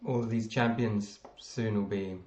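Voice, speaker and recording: A man's voice: on the low side, fairly even intonation, a little slow, darkish tone, echoey room. A man hosting a casual sports podcast in a jokey, informal way. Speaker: podcast host.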